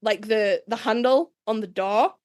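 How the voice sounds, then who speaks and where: A woman co-hosting a casual, joking fan podcast, telling things in an animated way and swearing freely. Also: fairly high pitch, a little slow. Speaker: podcast co-host.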